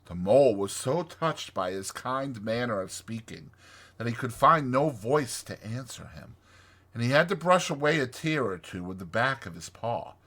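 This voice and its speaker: A man reading a classic storybook as an audiobook narrator, in an expressive scripted style. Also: mid-range voice, normal speed.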